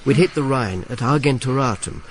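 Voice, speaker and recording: Wry, animated Roman informer, played by a man. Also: mid-range voice, a little fast, slight background noise, natural voice. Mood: wry, weary. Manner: sardonic, dry-witted. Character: wry, world-weary male Roman informer, grumpy with companions. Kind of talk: radio drama narration and dialogue.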